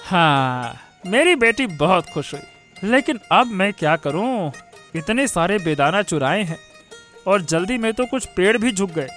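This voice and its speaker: A high-pitched village father played by a man in a cartoon moral tale. He is tender, fretful and doting, musing aloud over plucked-string music. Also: big pitch swings, measured pace, fairly bright tone, heavily acted. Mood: guilty, worried. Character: doting, guilt-ridden poor village father. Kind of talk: Hindi cartoon moral-story acting.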